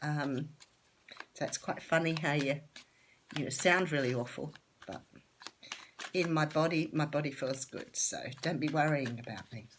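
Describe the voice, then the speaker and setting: This is a woman with an Australian accent, doing a casual tarot reading to camera. Her voice sits low, and it sounds nasal and a little rough from a cold and a sore throat. She talks in a chatty, enthusiastic way, filling pauses with plenty of ums. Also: a little hiss or hum. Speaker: tarot reader.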